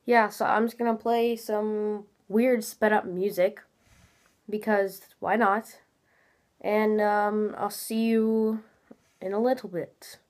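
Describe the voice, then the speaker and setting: A woman's voice: mid-range voice, little pitch movement, takes it slowly. Teen girl host, stuffy-nosed and chatty, rambling gameplay commentary from a home webcam. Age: teens. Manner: chatty, rambling, many fillers. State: congested, a little nasal. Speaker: gaming channel host. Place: small room at home, indoors.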